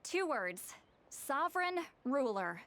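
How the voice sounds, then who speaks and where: A woman playing a self-assured, scholarly young woman in a video game, explaining lore in a crisp, knowing, slightly prickly way. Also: high voice, big pitch swings, slow pace, a bit bright, slight background noise, full character voice. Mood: confident, explanatory. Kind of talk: video game voice acting, story exposition. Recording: music behind the voice. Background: game music.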